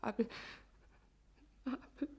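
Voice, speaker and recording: An actress in a German radio play playing an innkeeper's careworn, guilt-ridden wife in a subdued, weary manner. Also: female voice, takes it slowly, dark tone, close to an everyday voice. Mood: weary, sad.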